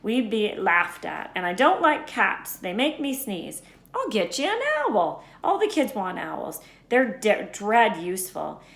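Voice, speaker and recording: A woman voicing a gruff, big-hearted, blunt man for a children's storybook, with emphatic, folksy speech. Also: mid-range voice, big pitch swings, a little slow, a bit bright, voice pushed up, exaggerated voice. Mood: generous, excited. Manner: emphatic, folksy, dropped words. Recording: echoey room.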